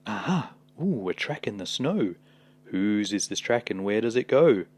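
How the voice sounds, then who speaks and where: A man voicing a brave, curious young monster daughter in a storybook, with excited, wide-eyed questions. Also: fairly low pitch, unhurried.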